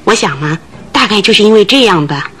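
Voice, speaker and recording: A woman's voice: medium pitch, animated, brisk, a bit bright, natural voice. An actress in a Chinese radio drama playing a thin, fidgety, gossipy landlady of about forty, agitated and full of exclamations. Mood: explaining, chatty.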